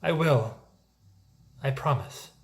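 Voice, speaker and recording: A man's voice: medium pitch, slow, creaky. An actor playing an earnest, anxious, loyal married man who is eager to please, in a musical theatre table read. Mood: earnest, pleading. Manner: earnest, placating.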